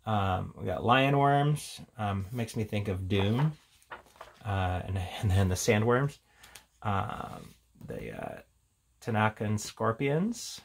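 Low, gravelly man reviewing casually. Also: slow pace. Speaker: tabletop game reviewer. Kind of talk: casual unscripted product walkthrough. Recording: steady background noise, handling clicks.

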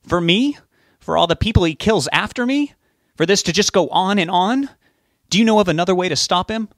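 A man's voice: fairly high pitch, lively intonation, normal speed, dry recording, studio quality, pitched above the performer's own voice. A man voicing an earnest, desperate young man in an audiobook, determined yet polite. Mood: heated. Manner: pleading, resolute.

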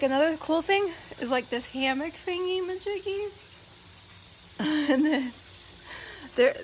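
A woman's voice: sits high, slight background noise, poor audio. A woman in her thirties rambling casually to her phone camera outdoors by a backyard pool, full of fillers. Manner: rambling and chatty, with many fillers. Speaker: vlogger. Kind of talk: casual vlog chat. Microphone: phone held at arm's length.

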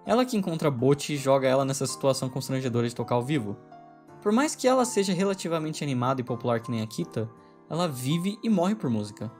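A man narrating a scripted video essay in a brisk, opinionated, wry way, with music under the voice-over. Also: medium pitch, sing-song, darkish tone.

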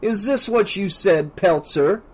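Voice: medium pitch, expressive, measured pace, close to an everyday voice. A narrator voicing a cocky, crafty young man, an officious bank employee in an audiobook, with a cold confidence.

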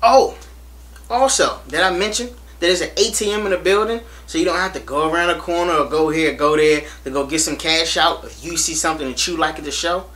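A young American man vlogging to camera in a small room, hyped and animated, repeating himself as he urges viewers to come. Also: fairly high pitch, a little fast. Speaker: reptile channel vlogger. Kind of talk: casual to-camera promo vlog. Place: small indoor room against a plain wall.

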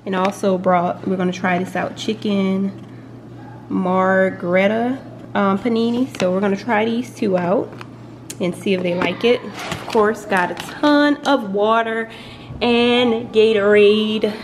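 A young woman talks through her groceries in a loose, chatty way, laughing easily as she goes. She is a college mom filming at home in her kitchen on a close handheld camera. Steady room noise sits clearly under her voice. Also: medium pitch, a little slow. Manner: chatty, relaxed, laughs often. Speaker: vlogger, college mom of three. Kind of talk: casual grocery haul vlog.

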